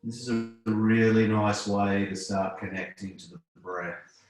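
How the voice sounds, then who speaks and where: Male yoga teacher, deep voice, calm guided-relaxation delivery with long pauses, recorded in a very reverberant room. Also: soft background music. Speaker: yoga instructor. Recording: very echoey room.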